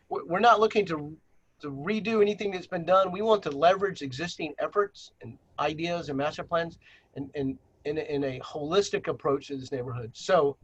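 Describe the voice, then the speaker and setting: A man's voice: fairly high pitch, a bit bright, highs cut off. A man leading a virtual planning workshop on a video call, presenting slides with many fillers and restarts.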